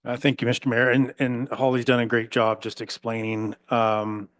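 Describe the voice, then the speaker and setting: A man's voice: mid-range voice, monotone, normal speed, a bit bright. A man serving as the municipal chief executive, advising council by laying out options in an orderly way, speaking into a desk microphone in a council chamber.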